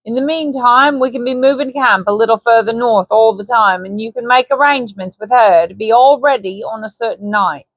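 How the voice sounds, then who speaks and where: A woman's voice: on the high side, fairly quick, few pauses, no echo, lightly acted. A woman voicing a scheming, coarse male trader and hunter with a Cockney accent and a sly, persuasive, ungrammatical way of talking. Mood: wheedling.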